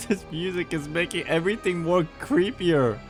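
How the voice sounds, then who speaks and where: A man's voice: on the high side, normal speed, over music. A man giving casual, off-the-cuff live reactions as a game streamer.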